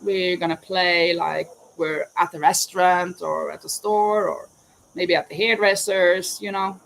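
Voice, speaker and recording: A woman's voice: medium pitch, a little hiss or hum, rough recording. Chatty Norwegian woman crocheting live. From Norway. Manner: chatty, rambling, self-correcting. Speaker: crochet vlogger and teacher. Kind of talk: casual live chat answering viewers while crocheting. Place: home in Spain, crickets outside.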